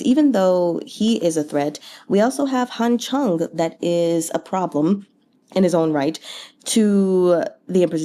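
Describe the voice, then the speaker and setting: A woman's voice: fairly low pitch, dark tone. A woman talking casually and expressively through a book recap, with conversational, incredulous asides. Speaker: book read-along vlogger.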